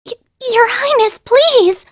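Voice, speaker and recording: Very high, sweet teenage girl. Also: animated, takes it slowly, no echo, clean studio sound, full character voice. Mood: flustered. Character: sweet, devoted teenage younger sister. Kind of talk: anime-style game voice acting.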